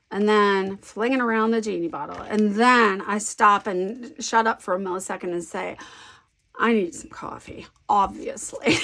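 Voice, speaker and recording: A woman from the American South with a softened Southern accent, rambling and amusing herself as she narrates her scrapbooking. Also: mid-range voice, gravelly. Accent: light Southern American. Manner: rambling, self-amused, talks to herself. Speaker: scrapbooking vlogger. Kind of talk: chatty crafting commentary while working.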